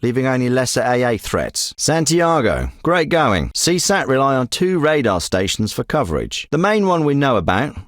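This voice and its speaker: Gruff special-ops handler for a military game, man voicing, middle-aged, curt and bossy, lively pitch, dry studio read. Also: normal speed, hardly any pauses, no echo, natural voice. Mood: briefing. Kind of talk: game voice acting.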